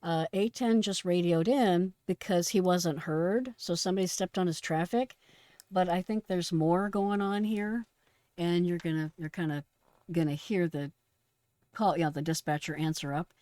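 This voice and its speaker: A woman's voice: fairly low pitch, fairly even intonation, normal speed, mellow, no room sound. A woman giving casual, instructive commentary as a presenter of emergency radio traffic.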